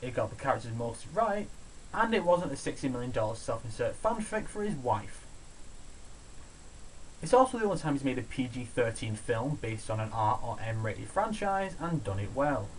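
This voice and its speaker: A man's voice: mid-range voice, very animated, normal speed, slight background noise, poor audio. A man hosting a scripted film countdown review, talking in a casual, opinionated, sweary way. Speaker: host of a video review show. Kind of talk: scripted countdown commentary on films.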